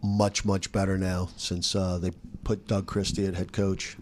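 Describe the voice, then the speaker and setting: A man's voice: deep voice, completely flat delivery, unhurried. A man who works as a sports radio announcer, chatting casually in a roundtable with emphatic, punchy remarks.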